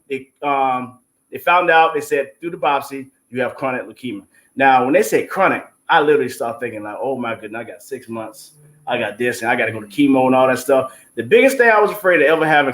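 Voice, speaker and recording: A man's voice: medium pitch, animated, fast, fairly bright tone. A man, a stage play producer appearing as a guest, giving an informal, emphatic, faith-filled testimony. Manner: informal, emphatic, devout, punctuated with 'you know what I'm saying'. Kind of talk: testimonial talk on an online show.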